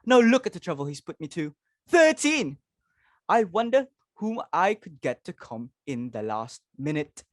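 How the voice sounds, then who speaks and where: A woman performs a social-climbing mother and hostess in a stage play. She is fussy and imperious, forever exclaiming. Her pitch leaps about in a very dry recording. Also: very animated, a little slow, no room sound. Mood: flustered. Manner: exclamatory, fretful, commanding.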